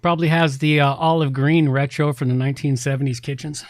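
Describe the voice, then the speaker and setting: A man's voice: on the high side, little pitch movement, brisk, dry recording, studio quality. A man co-hosting a podcast, reviewing products in a casual, chatty, folksy way. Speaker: podcast co-host.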